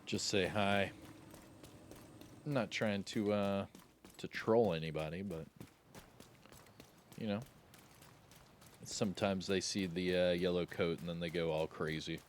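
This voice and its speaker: A man with a very low voice talks through a video game run in a loose, joking way, and now and then bursts into excited shouts. His voice has gone slightly raspy, and a faint hiss sits under the recording. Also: a little slow, pauses often. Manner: laid-back and joking, with excited outbursts. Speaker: gameplay commentator. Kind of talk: casual gameplay commentary.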